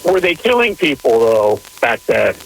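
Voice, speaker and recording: A man calling in to a radio show, speaking casually and tentatively with hesitant, halting phrasing. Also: medium pitch, very animated, gravelly. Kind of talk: casual, tentative call-in question. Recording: noisy radio broadcast with static.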